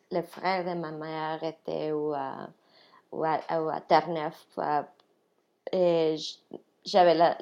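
A woman's voice: sits low, a little mellow, highs cut off. A woman from Mexico with a Mexican Spanish accent, telling her story casually in an interview, chatty, laughing often and slipping in English words. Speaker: interview guest, restaurant worker.

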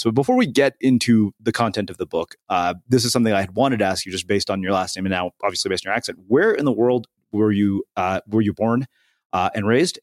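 A man's voice: fairly low pitch, no echo, clean studio sound. A man hosting an interview podcast, introducing and questioning in a conversational style. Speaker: podcast host.

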